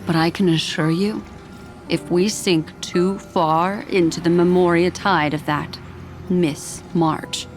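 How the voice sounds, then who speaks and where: A woman playing a composed, enigmatic memory keeper in a video game, speaking formally and in a measured way. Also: on the low side, steady tone, soft background music. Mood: calm, grave. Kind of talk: video game character voice acting.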